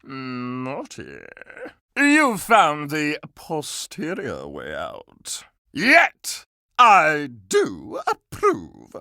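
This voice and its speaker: A flamboyant living candelabra from a video game, revels in scandal like a salacious dandy. The delivery is florid and archaic, with huge leaps in pitch and coy exclamations. The sound is dry and close. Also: male voice, huge pitch swings, very slow, sharp tone, dry recording, full character voice. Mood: gleeful, theatrical. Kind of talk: video game character acting.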